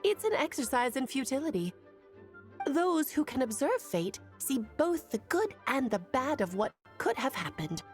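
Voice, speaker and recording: A woman voicing an ageless, cheerful and wise witch who speaks in a lilting, gently teasing way. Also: high-pitched, big pitch swings, moderate pace, soft background music. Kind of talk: video game voice acting.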